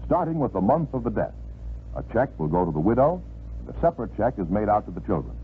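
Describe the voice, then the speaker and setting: A man's voice: lowish voice, very animated, fast. An American man reading a scripted public service announcement as a radio announcer. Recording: muffled vintage radio recording, faint hiss.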